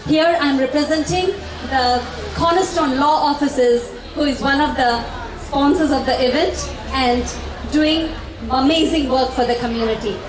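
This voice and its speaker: A woman's voice: high-pitched, unhurried. A woman who hosts a community TV show, giving a formal speech to an event audience. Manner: formal, addresses ladies and gentlemen. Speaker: TV show host and event sponsor representative. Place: large hall at a fashion show. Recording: echoey hall from far off with crowd.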